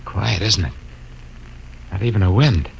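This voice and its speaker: An actor playing an earnest young male crew officer in a 1950s radio drama, quick to speculate aloud. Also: deep voice, gravelly, slight background noise, poor audio.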